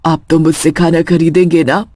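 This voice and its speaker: A woman's voice: deep voice, little pitch movement, normal speed, warm tone, no room sound. A woman voicing a lonely female witch who runs a small food stall, coaxing yet menacing, in a cartoon horror story.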